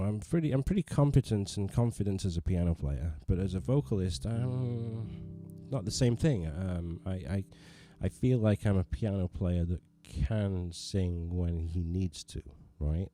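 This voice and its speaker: A man hosts a relaxed piano livestream from his living room, talking in a loose, rambling way and laughing at his own limits. His voice sits a little low and sounds very warm and dark. A piano plays softly behind him. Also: normal speed. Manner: rambling, self-deprecating, many restarts. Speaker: livestream host and pianist. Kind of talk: casual livestream chat.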